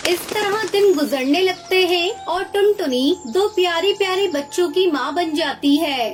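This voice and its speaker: Very high-pitched woman narrating a cartoon story. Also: a little slow.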